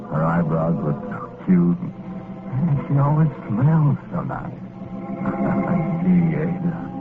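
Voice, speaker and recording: A man's voice: deep voice, natural voice. An actor playing a meek, wistful working-class American ghost, telling his story in rambling slang in 1940s radio drama style. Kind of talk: 1940s radio drama first-person narration. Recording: muffled old radio recording with music. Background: music.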